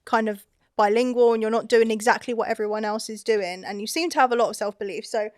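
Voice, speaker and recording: A woman with a fairly high voice asks an artist questions in a friendly way. Her sound is dry and close, as in a studio. She drops short affirming words between his answers. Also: steady tone, fast talker, darkish tone, no room sound. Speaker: interviewer. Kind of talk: interview questions. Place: recording studio.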